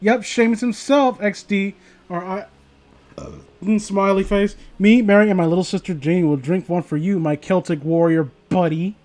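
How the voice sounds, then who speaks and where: A man with a notably high voice reads aloud to a livestream in a loose, informal way. He rambles, swears freely and often drops a sentence halfway to add mocking commentary. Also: steady tone, moderate pace, darkish tone. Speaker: streamer reading stories aloud to viewers.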